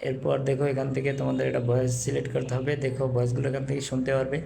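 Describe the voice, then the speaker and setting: A man's voice: mid-range voice, monotone, very fast, vocal fry, warm tone. A man hosting a video tutorial, narrating instructions step by step. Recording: faint background noise.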